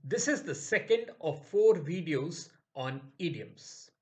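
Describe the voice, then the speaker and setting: A man narrating a scripted English idioms lesson in a slow, measured way. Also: a little high. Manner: slow, measured, lively intonation. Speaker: English teacher.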